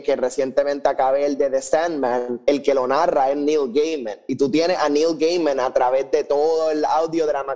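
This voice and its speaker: Dude, warm mellow mid voice, casual podcast talk, sweary, mixes english in. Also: male voice, mid-range voice. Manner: mixes English phrases into Spanish; informal, sweary. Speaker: podcast host. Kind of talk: casual review chat.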